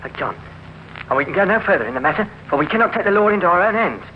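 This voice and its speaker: Courteous, higher-voiced gentleman. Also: lively intonation, rapid-fire. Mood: earnest. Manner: polite, deferential, hesitant. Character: courteous, cautious friend posing as a caller. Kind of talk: 1950s radio crime drama acting. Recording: dull, noisy old radio recording.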